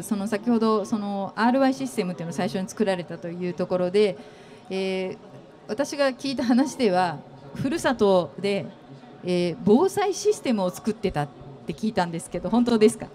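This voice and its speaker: A middle-aged woman interviewing a guest on an expo stage, asking long, gentle questions full of fillers into a handheld mic. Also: mid-range voice, expressive, normal speed. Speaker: interviewer, company executive.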